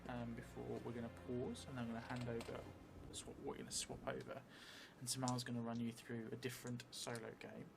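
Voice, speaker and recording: A middle-aged man thinking aloud as he improvises a solo tabletop game, hesitant and musing with long pauses. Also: medium pitch, music in the background. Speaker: solo role-player and streamer. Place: indoor theatre room, seated at a table. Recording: clear steady background noise.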